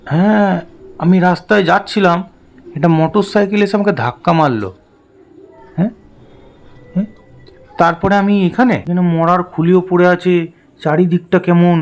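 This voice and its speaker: A man's voice: sits high, a little mellow. A man playing a hapless, henpecked village husband in a comic cartoon, rambling and repeating himself in bewildered complaint.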